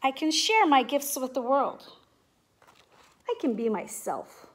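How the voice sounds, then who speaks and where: A middle-aged woman teacher speaking in simple, clear phrases to young children, as in a read-aloud or classroom demonstration. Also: sits high, big pitch swings, unhurried, slight background noise. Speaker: teacher making videos for pupils. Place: indoors at home, living room and kitchen. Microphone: device mic at about arm's length.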